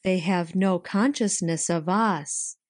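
A woman reading a story, voicing a gentle, solemn spirit in a slow, measured way. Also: on the low side, no room sound, studio quality.

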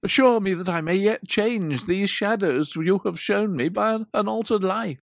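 A woman's voice: mid-range voice, normal speed, creaky, no echo, studio quality. A woman voicing Scrooge, a frightened old miser. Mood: beseeching. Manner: loud, urgent, beseeching.

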